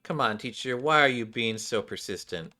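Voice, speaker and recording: A man voicing a surly, sharp-tongued schoolgirl from a game, curt and dismissive. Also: mid-range voice, lively intonation, moderate pace. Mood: guarded, weary.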